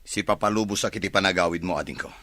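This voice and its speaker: Radio drama: male employer, earnest and sorry, lowish pitch, forceful tone. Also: very fast, no room sound. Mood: apologetic, earnest. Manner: earnest, apologetic. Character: apologetic, kindly male employer of a housemaid.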